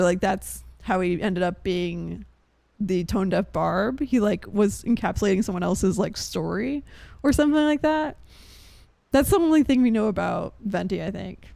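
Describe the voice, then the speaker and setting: A young woman game streamer chatting casually with her viewers in an expressive, meandering way. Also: a little high, gravelly, no echo. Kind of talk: casual live-stream chat. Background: faint game music.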